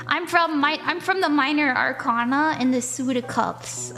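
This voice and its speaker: A young woman from the southern United States, chatting with viewers while giving live palm readings, bubbly and quick to laugh. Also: high-pitched, over loud music.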